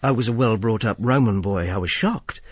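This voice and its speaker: An actor playing a wry, world-weary Roman imperial agent in a radio drama, with a dry, sardonic, probing manner. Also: male voice, on the low side, normal speed, slightly rough. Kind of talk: radio drama dialogue and first-person narration.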